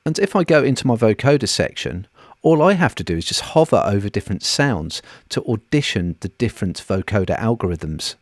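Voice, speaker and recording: A man presenting an audio software walkthrough in a scripted, explanatory narration, recorded close on a voice-over mic. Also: fairly low pitch, big pitch swings, a little fast, no room sound.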